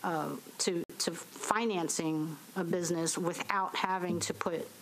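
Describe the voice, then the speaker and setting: Halting woman testifying at a podium. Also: fairly low pitch, fairly even intonation, a little hiss or hum, rough recording. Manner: halting, many fillers and restarts. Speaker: business owner giving public testimony. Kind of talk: public hearing testimony. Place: municipal hearing room. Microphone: podium microphone.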